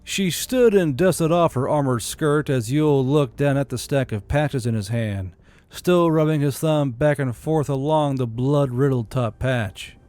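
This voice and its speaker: A man's voice: mid-range voice, measured pace, a little mellow, dry recording. A man reading scripted fantasy fiction as an audiobook narrator.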